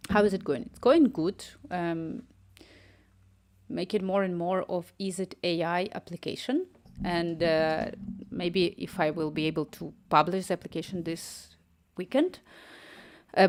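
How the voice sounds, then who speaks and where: Young woman, Ukrainian accent, slightly low voice, casual live-coding streamer thinking aloud and chatting with viewers. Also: a little mellow. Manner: chatty, thinks aloud, reads chat messages and dictates prompts.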